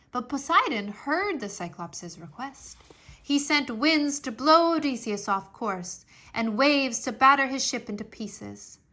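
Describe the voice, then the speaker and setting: Woman doing a kids read-aloud, highish bright voice, expressive and emphatic storytelling. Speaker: storybook narrator, English teacher.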